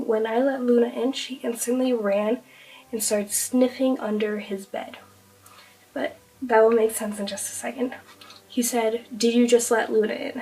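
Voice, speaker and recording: A young woman with a fairly high voice talks casually to camera, recounting an eerie experience full of fillers. She is sick and her voice is hoarse and fading. She sits a bit back from the microphone. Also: a little mellow, distant mic, low-quality recording. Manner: chatty, breathless retelling with many fillers. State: hoarse, losing her voice from illness. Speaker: vlogger.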